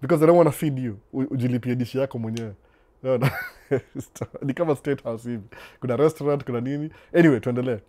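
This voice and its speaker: A man talks in an easy, laid-back way on his live stream, reading out viewer comments and answering each one. His voice is mellow and warm at a middle pitch. He slides between Swahili and English without breaking stride. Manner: relaxed, unhurried, switches between Swahili and English mid-sentence; reads viewer comments aloud and responds to them. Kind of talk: casual live-stream chat.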